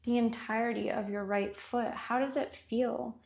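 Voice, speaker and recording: A woman guiding a body scan meditation with gentle, unhurried instructions and long pauses. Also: fairly high pitch, fairly even intonation, gravelly. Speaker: meditation guide.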